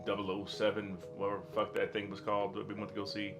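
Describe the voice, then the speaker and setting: A man's voice: fairly low pitch, monotone, fast. A man co-hosting a podcast in casual conversation, informal and wry with a joking way of talking. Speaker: podcast co-host. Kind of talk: casual podcast chat about pop culture. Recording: music clearly playing under the voice. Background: background music.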